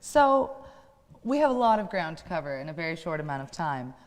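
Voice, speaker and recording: A woman's voice: low voice, big pitch swings, a little fast. A woman with an American accent hosting a live stage show, speaking in a formal, courteous way. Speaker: host. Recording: hall reverb.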